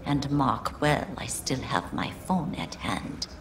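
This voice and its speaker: Low, silky older female crime fixer. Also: expressive, noisy background, full character voice. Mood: coolly threatening. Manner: sly, mock-courteous, deliberate. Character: shrewd older Japanese female underworld fixer, silky and sardonic. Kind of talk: dramatic game dialogue.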